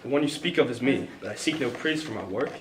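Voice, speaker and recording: A man's voice: medium pitch, lively intonation, fast talker, close to an everyday voice. A teenage boy playing earnest historical characters in an amateur puppet show, reciting scripted lines somewhat stiffly. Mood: formal, modest. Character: opinionated questioner, later a modest, honorable colonial gentleman. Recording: roomy reverberant recording with background hiss.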